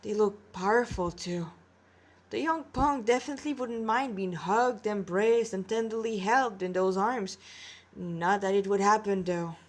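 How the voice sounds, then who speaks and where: A woman's voice: medium pitch, a little slow. A tired-sounding woman reads a story aloud as an amateur narrator, dramatic in delivery and informal in her asides, recorded on a tablet. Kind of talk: narrated story reading with character dialogue.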